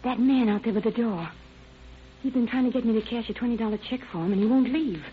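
An actress playing a polite, anxious but firm young shop clerk in an old radio drama. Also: female voice, fairly high pitch, fast talker, narrow, tinny audio, lightly acted. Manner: courteous, apologetic, earnest. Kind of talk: 1940s radio crime drama acting. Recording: thin band-limited old radio recording, hiss.

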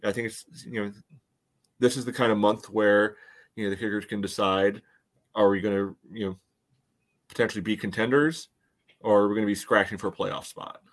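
A man's voice: on the low side, steady tone, brisk, long pauses. A man co-hosting a casual sports podcast, analysing in a hesitant way with lots of verbal padding. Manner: hesitant, filler-heavy phrasing with frequent "you know". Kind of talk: casual soccer analysis chat.

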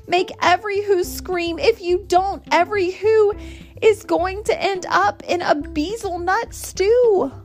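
Woman voicing an earnest, pleading storybook elephant, high and lively. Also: slow pace, soft background music, exaggerated voice. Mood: urgent pleading. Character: earnest, loyal, pleading male elephant with big heart.

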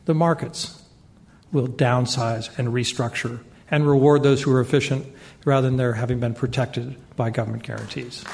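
A man speaking as a debate panelist, setting out his position step by step. He is measured and insistent. His voice has an average pitch. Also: steady tone, creaky, darkish tone, a little hiss or hum. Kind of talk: debate argument.

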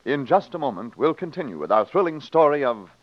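A man's voice: mid-range voice, very animated, fairly quick. A man narrating as a dramatic old-time radio announcer. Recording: dull vintage radio recording. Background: organ music.

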